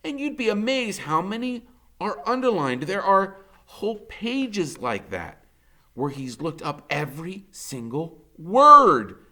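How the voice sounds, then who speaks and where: A man reading a children's novel aloud in the first person, like a teacher, expressive and colloquial. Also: high voice, slow pace.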